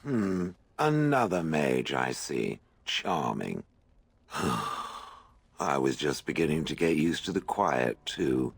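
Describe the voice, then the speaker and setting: An actor playing an ancient stone golem in a video game, dryly sarcastic, weary and contemptuous of humans. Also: male voice, a bass voice, takes it slowly, gravelly, husky, no room sound, heavily acted. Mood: dry sarcasm. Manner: dry, deadpan sarcasm, weary and haughty. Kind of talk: video game character acting.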